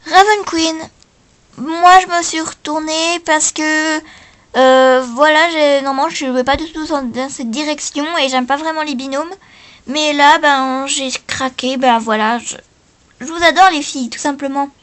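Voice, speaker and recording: Female performer playing high-voiced hesitant talent-show panellist. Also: fairly even intonation, measured pace, a bit bright. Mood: hesitant praise. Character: female talent-show presenter and coaches in a homemade parody. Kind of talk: improvised talent-show role play.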